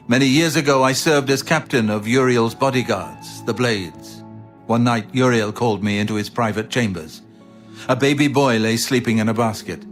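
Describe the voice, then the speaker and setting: A male actor playing a grave, learned former royal bodyguard captain in a fantasy video game, speaking in a measured, solemn way. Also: mid-range voice, lightly acted. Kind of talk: scripted fantasy game dialogue.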